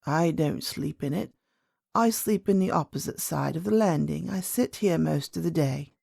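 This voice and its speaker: A woman voicing a very old, gentle and mysterious grandmother queen in a storybook, speaking calmly and kindly with measured words. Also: low voice, vocal fry, husky, dry recording, studio quality, pitched below the performer's own voice, exaggerated voice. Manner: calm, measured, kindly, enigmatic. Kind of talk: storybook character voice.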